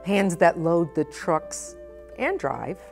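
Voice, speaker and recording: A middle-aged woman presenting a children's storytime, speaking expressively and with deliberate phrasing for young listeners. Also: fairly low pitch, very animated, vocal fry, darkish tone, soft background music. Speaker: guest storytime reader, nonprofit president. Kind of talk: children's picture book read-aloud. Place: indoor storytime set at a library.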